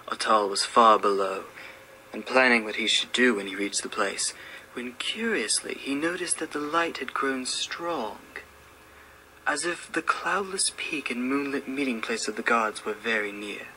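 A man's voice: fairly low pitch, bright, forceful tone, rough recording. A man giving a solemn, theatrical dramatic reading of a horror story as narrator. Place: radio station room. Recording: faint background noise at radio station.

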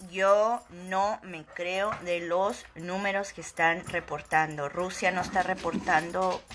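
A woman in her forties from Ciudad Juárez with a northern Mexican accent, ranting sarcastically into a phone camera at arm's length indoors, shifting between loud outbursts and low asides. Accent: northern Mexican Spanish. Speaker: vlogger. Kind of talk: casual rant to camera. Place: indoor room with wooden shelves.

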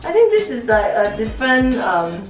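A young woman with a slightly high voice chats through a home cooking vlog, giggly and self-mocking. She sounds a little distant from the camera, in a roomy, echoey kitchen. Music plays underneath. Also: sing-song, normal speed. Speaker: home cook vlogger. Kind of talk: casual cooking vlog chat. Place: small home kitchen, indoors. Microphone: camera across the room.

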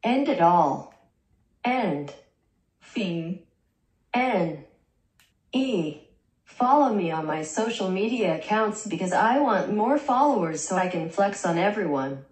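A synthetic woman's voice reading a young vlogger's lines in a deadpan way, heard in a small bedroom through the camera mic. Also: medium pitch, slow pace, pauses often, roomy sound, low-quality recording. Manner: deadpan, dry jokes. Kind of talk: text-to-speech vlog narration.

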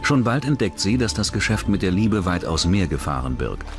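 Very deep male documentary narrator. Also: very animated, normal speed, faint music behind. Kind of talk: scripted true-crime documentary narration.

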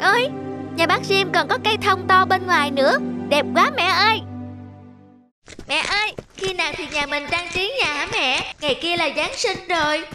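Cartoon dub: sweet, eager little girl, high and childlike, over music. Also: normal speed. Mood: excited, eager. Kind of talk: animated cartoon dubbing.

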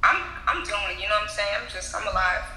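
A woman's voice: mid-range voice, expressive, quick pace, sharp tone, echoey room, in a large hall, slight background noise, rough recording. A woman chatting with a stranger over a live video app, laid-back and full of slang.

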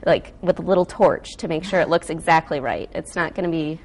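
A woman's voice: deep voice, fairly quick. An American woman chatting casually and enthusiastically as a guest food stylist, walking through a cooking demonstration in informal speech. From Wisconsin, United States.

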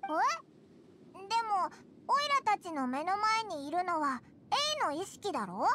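A woman voicing a tiny, childlike fairy companion in an anime game, squeaky, blunt and casual, cheerfully bossy and caring. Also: big pitch swings, unhurried, sharp tone, slight background noise, exaggerated voice. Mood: cheerful, eager. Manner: blunt, casual, boyish wording.

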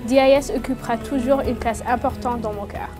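A woman's voice: a little high, fairly even intonation, a little fast. A young woman student, earnestly reciting a scripted testimonial for her school. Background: music.

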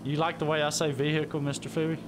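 A man's voice: fairly high pitch, level tone, quick pace. A man streaming a video game with friends, chatting casually and playfully in a folksy way with frequent exclamations. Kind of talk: casual gaming chat with stream viewers. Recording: noisy, with game vehicle sounds.

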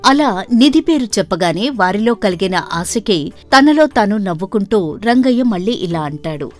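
A woman narrator reading a scripted folk tale for an animated story. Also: mid-range voice, moderate pace. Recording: background music throughout.

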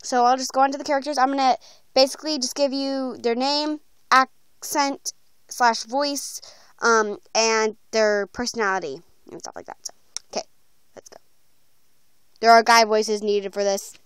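High-pitched, clear young woman, chatty. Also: steady tone, moderate pace, fairly bright tone, dry recording. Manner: informal, rambling, slangy. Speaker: video creator announcing voice auditions. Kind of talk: casual chatty vlog. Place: small room at home, bedroom. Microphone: handheld phone, close by.

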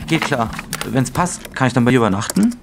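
A man's voice: mid-range voice, animated, brisk, background noise, lightly acted. A man playing a laconic, non-committal online chatter with terse delivery in an audio drama. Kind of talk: audio drama, reading chat messages as casual dialogue.

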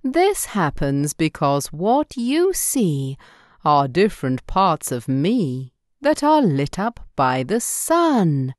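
Storybook Moon, played by a woman: low female pitch, gentle teacher, extremely unhurried. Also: very animated, dry recording, clean studio sound, heavily acted. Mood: patient, explaining. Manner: measured, instructive. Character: the Moon, gently explaining itself to a child. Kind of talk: children's bedtime storybook.

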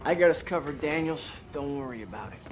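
An actor playing a cocky, wisecracking young American soldier in a war video game, with brash, teasing banter. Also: male voice, fairly high pitch, lively intonation, quick pace, noisy background, lightly acted. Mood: confident. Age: young adult. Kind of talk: cinematic war game acting.

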